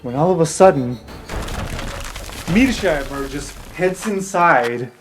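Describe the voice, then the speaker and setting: A man narrating an improvised tabletop fantasy game as game master, in an excitable, dramatic way. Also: on the high side, takes it slowly. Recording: roomy echo, distant, background music.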